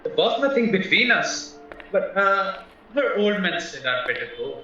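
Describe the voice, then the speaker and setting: Male actor as nervous naive young man, rambling, polite, bright voice, distant muffled recording, radio drama. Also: sits high, big pitch swings, unhurried, full character voice. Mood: earnest, defensive. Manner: anxious, polite, hesitant, rambling. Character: nervous young male drifter accused of murder, naive and chatty. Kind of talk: stage-style radio play acting. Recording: roomy, distant, muffled, music underneath.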